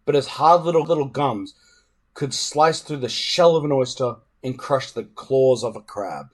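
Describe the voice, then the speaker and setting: A man reading a storybook aloud in an expressive, dramatic way. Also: mid-range voice, moderate pace. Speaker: reader.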